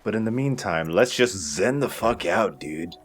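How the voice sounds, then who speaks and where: A man's voice: lowish voice, expressive, a little slow. A man casually commentating as he plays a video game, rambling, joking and swearing. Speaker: let's play host, playing the game. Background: game soundtrack music.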